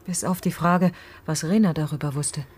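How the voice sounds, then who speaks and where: An actress playing a guarded, wry middle-aged mother in a radio crime drama, with a breathy, husky voice and a terse, direct manner. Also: low-pitched, fairly even intonation, normal speed, a little mellow. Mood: tense, sceptical. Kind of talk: naturalistic German radio drama dialogue.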